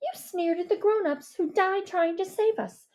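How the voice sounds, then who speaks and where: A fierce, righteous teenage girl stands up to a bully in this storybook reading, as a woman acts her out. Her voice sits high and is pushed, yet keeps a soft, mellow tone. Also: normal speed. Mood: accusing. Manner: accusing, intense.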